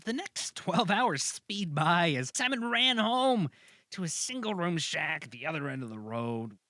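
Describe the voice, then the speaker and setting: A man's voice: a little high, sing-song, unhurried, bright, forceful tone, no echo, studio quality. A man narrating a fantasy audio drama from a script. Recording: clearly audible background noise.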